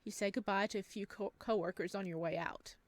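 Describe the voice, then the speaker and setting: A woman hosting a let's play, reading game text aloud and chatting to viewers with bubbly, expressive reactions. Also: medium pitch, brisk, dry recording. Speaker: gaming video host.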